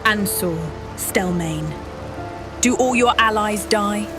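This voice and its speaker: A woman's voice: mid-range voice, slow, lightly acted. A woman playing a wary, accusing adventurer in fantasy game dialogue, serious and questioning. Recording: long reverb, music behind.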